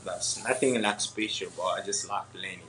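Young Congolese fighter, lower voice, pauses often, non-native speaker, faint hiss in the background. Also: male voice, sharp tone, poor audio. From Democratic Republic of the Congo. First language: French or Swahili. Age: young adult. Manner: halting, many ums, laughs often. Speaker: MMA fighter guest. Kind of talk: casual interview answers.

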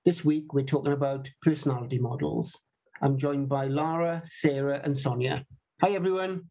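A man's voice: a little high, steady tone, moderate pace, dark tone, muffled recording. A man hosting a podcast over a video call, introducing guests in a friendly, upbeat way. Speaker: podcast host. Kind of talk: podcast interview.

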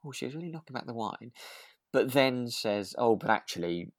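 A podcast co-host, talking thoughtfully and tentatively in relaxed discussion, with hesitant, circling phrasing. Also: mid-range voice, moderate pace, dry recording, clean studio sound. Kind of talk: relaxed discussion of a radio drama.

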